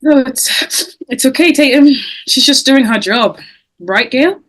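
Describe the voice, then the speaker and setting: A woman playing a shaken but steely teenage girl in an amateur script read, soft and halting. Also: high voice, expressive, heavily acted. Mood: cool, guarded.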